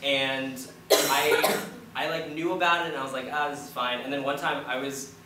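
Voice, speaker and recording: A man's voice: mid-range voice, flat delivery, moderate pace. A man in his early twenties with an American accent, a student playwright giving rambling, informal answers on a panel. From United States, Florida. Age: early 20s. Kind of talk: casual panel storytelling and answers. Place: indoor room, public post-show discussion. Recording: very noisy, echoey room, far from mic.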